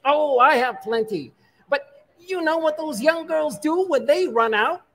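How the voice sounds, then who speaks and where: A woman plays a high-pitched old granny from a vintage radio comedy. She is a folksy widow, coy and cheeky, the neighborhood know-it-all who lands every punchline. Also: expressive, a little slow. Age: older. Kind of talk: 1940s radio comedy acting. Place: live stage in a museum hall.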